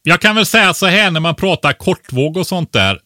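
A man's voice: a little high, animated, bright, forceful tone, no room sound, clean studio sound. A man co-hosting a podcast, explaining things casually and didactically, often tagging his statements with a short questioning word.

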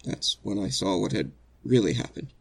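An actor playing an anguished innocent man facing execution in a 1940s-style radio drama, earnest and halting, stammering with emotion. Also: lowish voice, lively intonation, gravelly, husky, exaggerated voice. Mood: shaken, halting.

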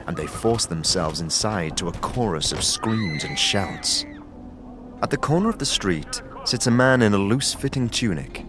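A man with a very deep voice reads scripted narration in a steady, descriptive way. Faint music plays quietly beneath him. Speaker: narrator and producer.

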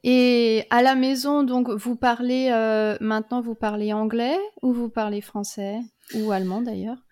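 A woman's voice: fairly high pitch, steady tone, measured pace, darkish tone. A woman hosting a podcast, asking questions casually and encouraging her guest with short replies. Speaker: podcast host.

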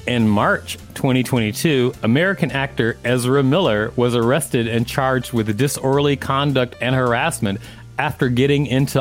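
A man co-hosting a podcast, reading an article aloud and adding casual asides. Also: mid-range voice. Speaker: podcast co-host.

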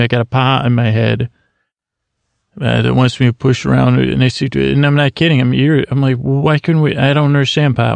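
Rambling gravelly man. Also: medium pitch, darkish tone, dry recording. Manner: rambling, full of restarts and stammers. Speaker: podcast host. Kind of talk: rambling comedic intro monologue.

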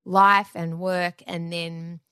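A woman's voice: lowish voice, takes it slowly, smooth, no echo, clean studio sound. A woman sharing a heartfelt personal story candidly in a conversation. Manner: candid, heartfelt, animated in telling.

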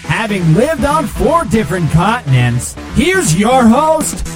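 An announcer reading a scripted podcast intro with a slow, lilting delivery. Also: mid-range voice, very animated. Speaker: show announcer. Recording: intro over music.

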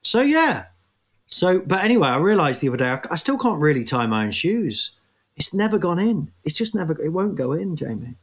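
A man's voice: a little high, sing-song, fairly quick. Tired rambling man with a Nottingham accent. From Nottingham, England. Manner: rambling, self-mocking, breaks into laughs. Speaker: podcast host. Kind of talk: casual comedic chat.

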